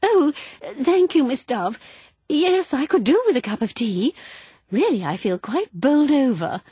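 A woman voicing an excitable, prying, plump middle-aged woman in a storybook reading. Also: mid-range voice, unhurried, breathy edge, no echo, voice pushed up. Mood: eager gossip. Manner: eager, breathless, prying.

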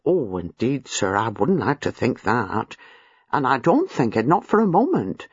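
A man's voice: mid-range voice, huge pitch swings, unhurried, husky, no echo, dull audio, pitched below the performer's own voice, exaggerated voice. A man voicing a nervous, apologetic older female former servant, hesitant and deferential in an audiobook, with rambling rustic turns of phrase. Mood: reminiscing, flustered. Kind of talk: audiobook character dialogue.

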